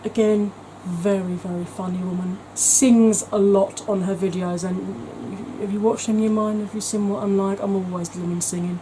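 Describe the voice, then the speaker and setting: Mellow woman chatting warmly. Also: medium pitch, steady tone, normal speed, few pauses, slight background noise, poor audio. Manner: chatty and gushing, with frequent ums. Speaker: beauty vlogger. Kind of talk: casual vlog chat.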